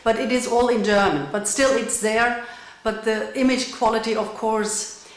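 A middle-aged woman from Switzerland and Luxembourg, a visual artist speaking to camera in a measured, explanatory way with some fillers. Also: a little high, fairly even intonation, reverberant, rough recording. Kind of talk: recorded artist talk to camera. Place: indoor room with bare wall. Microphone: camera framed at chest height.

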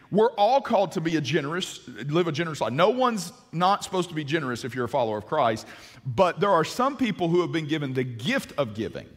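Husky-voiced male pastor, forties, lively sermon on stage, reverberant hall sound. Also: sits high. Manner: animated, gesturing, many restarts. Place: large church stage.

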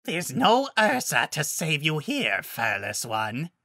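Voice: mid-range voice, huge pitch swings, slow, sharp tone, no room sound, studio quality, voice pushed up, full character voice. A narrator voicing a fierce, proud male werewolf pack alpha in a fantasy story, with a barking, menacing, blustering manner. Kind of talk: audiobook-style fantasy story character.

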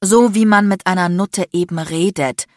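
An icy, domineering man in an audiobook drama, acted out by a woman. He is furious and sarcastic, hissing and barking threats in a lowish voice with a dry, close sound. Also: moderate pace, dry recording. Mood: cruel, aggressive. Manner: shouting, biting, threatening, crude. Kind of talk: dramatic audiobook character acting.

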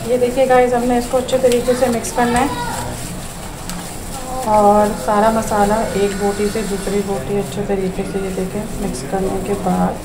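A woman's voice: medium pitch, flat delivery, normal speed. A woman chatting casually to her viewers while cooking at home, sprinkling in English words. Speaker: home cook showing a recipe. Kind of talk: casual cooking vlog narration. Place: home kitchen. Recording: echoey room with clear household noise.

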